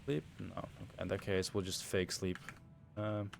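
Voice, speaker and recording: Dutch male streamer, low voice, laid-back rambling commentary, faint hiss behind. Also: normal speed, creaky. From Netherlands. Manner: relaxed, rambling, chatting to viewers while playing. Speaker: game streamer. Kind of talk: casual livestream commentary.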